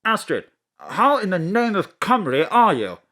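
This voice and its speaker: A woman's voice: medium pitch, big pitch swings, unhurried, a bit bright. A woman playing a jolly, posh, awkwardly flirtatious male diplomat in a comic audio drama. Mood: jovial.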